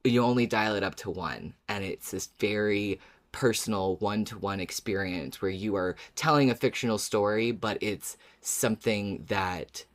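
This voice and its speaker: A podcast co-host in casual conversation, speaking thoughtfully and haltingly, building ideas piece by piece. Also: deep voice.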